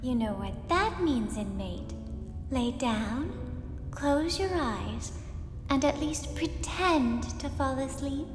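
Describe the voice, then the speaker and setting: Woman playing a high-voiced, bossy girl prison warden. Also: animated. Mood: cold, commanding. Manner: imperious, deliberate, clipped commands. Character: stern, bossy young girl prison warden, curtly commanding. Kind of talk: scripted ASMR roleplay. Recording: echoey hall reverb over clear music.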